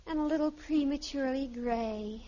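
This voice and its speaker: An actress playing a melodramatic, lovestruck American teenage daughter with affected sophistication in a 1950s radio sitcom. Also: female voice, sits high, normal speed, mellow, slight background noise, natural voice. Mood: smitten, indignant. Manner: pompous, theatrical, dreamy. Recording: dull vintage radio recording.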